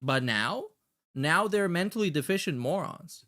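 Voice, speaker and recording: A man's voice: fairly high pitch, animated, a little slow, pushed and bright. A man giving casual reaction commentary as a show host, swearing freely in a sarcastic, mocking manner. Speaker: podcast host reacting to clips.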